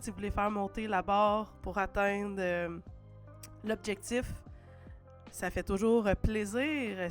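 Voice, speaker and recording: A woman with a Québécois French accent hosting a livestream while sick with a cold, chatty and full of "euh" fillers and playful asides. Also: mid-range voice. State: congested with a cold. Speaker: livestream host.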